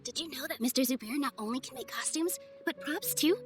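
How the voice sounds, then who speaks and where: An actress playing a kind, graceful young festival dancer in a video game, speaking softly and earnestly. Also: female voice, high-pitched, brisk, faint music behind, lightly acted. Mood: warm, admiring. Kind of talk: video game character voice acting.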